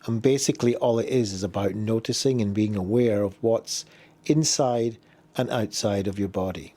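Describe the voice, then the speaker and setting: A man leading a guided body scan meditation, giving gentle, unhurried instructions with pauses between phrases. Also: on the low side.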